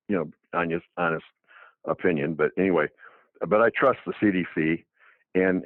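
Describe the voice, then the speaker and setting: A man's voice: sits low, no room sound. A man in his seventies, a doctor, talking casually on the radio with many restarts and fillers. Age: 70s. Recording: phone-like dry narrow line.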